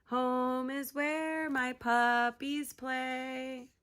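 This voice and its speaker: A woman's voice: high-pitched, level tone, fairly bright tone, faint music behind, exaggerated voice. A woman doing a kindly barking mother dog. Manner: kindly, slow sing-song rhyme, with barks.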